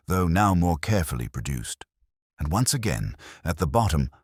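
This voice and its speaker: A man giving a measured, literary scripted narration of a detective story. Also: extremely low pitch, darkish tone, husky, dry recording, studio quality.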